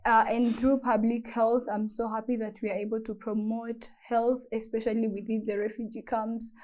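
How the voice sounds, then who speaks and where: A woman's voice: on the high side, little pitch movement, moderate pace, a little mellow. A young woman on a panel, explaining her public health work in an earnest way. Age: young adult. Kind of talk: panel discussion.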